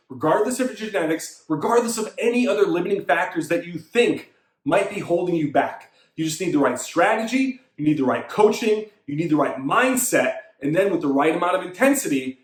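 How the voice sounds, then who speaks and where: A man in his thirties with a high-pitched voice delivers an emphatic motivational talk as a fitness coach. He hammers his points home through repetition, and the room around him rings with echo. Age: 30s. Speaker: fitness coach and show host. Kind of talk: motivational monologue.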